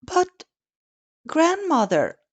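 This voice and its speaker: A sweet little girl from a fairy tale, acted out by a woman, pitched well up high. She speaks in an innocent, wide-eyed singsong, drawing her words out. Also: wildly swooping pitch, extremely slow, exaggerated voice. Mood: innocent, puzzled.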